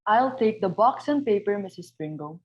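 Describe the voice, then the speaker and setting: A woman's voice: mid-range voice, expressive, moderate pace, close to an everyday voice. A woman playing a deferential, practical household servant with a polite manner, on a slightly dull microphone.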